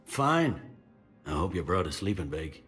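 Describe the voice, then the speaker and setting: A jaded, gruff officer of a sci-fi game. He speaks bluntly in everyday slang. The pitch is deep, with soft music underneath. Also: very animated, unhurried, heavily acted. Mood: irritated, dismissive. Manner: blunt, colloquial.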